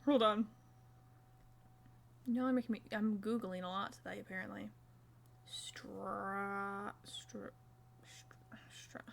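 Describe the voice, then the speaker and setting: A woman's voice: mid-range voice, level tone, slow pace, vocal fry, long pauses, a little hiss or hum. A young woman streaming live, chatting casually and self-mockingly while she crochets, swearing now and then.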